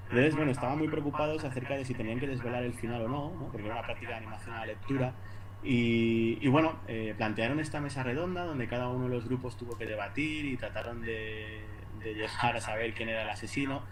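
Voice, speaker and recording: A man with an accent from Spain giving an informal academic presentation, haltingly, repeating words and adding little tag questions. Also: mid-range voice, a crowd in the background, low-quality recording. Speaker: university lecturer presenting at an academic conference. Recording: noticeable background noise.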